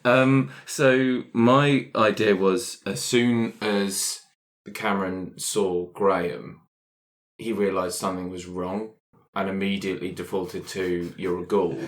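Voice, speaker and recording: A man's voice: deep voice, steady tone, slow. A man talking casually and telling improvised story ideas in a tabletop roleplaying group, with a playful, wry manner.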